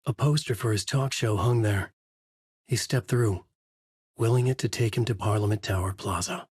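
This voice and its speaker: A man's voice: on the low side, moderate pace, a little mellow, husky, dry recording, clean studio sound. A middle-aged man narrating a video game as a brooding writer, in a noir-like inner monologue. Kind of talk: hard-boiled game narration.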